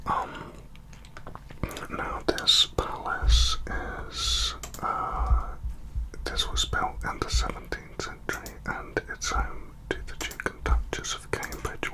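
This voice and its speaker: Male ASMR tour guide, breathy whisper throughout, gentle and explanatory. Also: extremely high pitch, rough recording.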